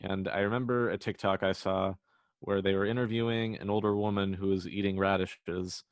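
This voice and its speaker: A young man co-hosting a podcast, joking with dry, wry humor. His voice sits on the low side. He sounds close to the mic, with no room sound. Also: fairly even intonation, moderate pace, no echo. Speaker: podcast co-host. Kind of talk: casual chat.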